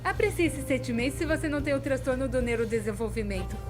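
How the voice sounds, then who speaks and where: A woman voicing an earnest, expressive animated narrator in her twenties, with a Brazilian Portuguese accent, in scripted storytime style. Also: high-pitched, fast. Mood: earnest, hopeful. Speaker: fan dub voice actor. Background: music.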